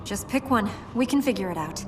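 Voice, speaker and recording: An actress playing a dry, determined young princess in a video game, terse and matter-of-fact. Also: female voice, mid-range voice, expressive, fairly quick, lightly acted. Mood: earnest, matter-of-fact. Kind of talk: video game cutscene acting. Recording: distant voice under music.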